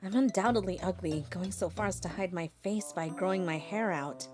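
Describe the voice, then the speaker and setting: A woman voicing a shy, self-deprecating teenage high school girl, narrating her own cartoon story with dramatic self-pity. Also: moderate pace, darkish tone, faint music behind, natural voice. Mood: anxious, self-pitying. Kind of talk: anime-style story narration.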